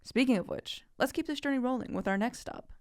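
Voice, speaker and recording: A woman presenter delivers scripted links. Her voice sits fairly high and the sound is dry, with no room to it. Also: fairly quick, dry recording. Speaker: show presenter. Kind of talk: scripted introduction.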